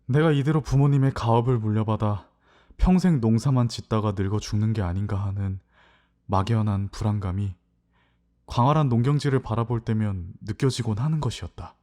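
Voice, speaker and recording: A man's voice: fairly low pitch, brisk, darkish tone, close to an everyday voice. A man playing a young farmer's son, narrating his own fantasy story in a reflective, literary way in an audio drama. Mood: wistful, reflective.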